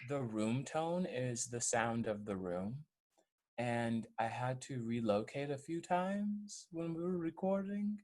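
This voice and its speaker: A man talking earnestly in an interview, with measured, deliberate phrasing. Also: mid-range voice, darkish tone. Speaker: audio play cast or crew member.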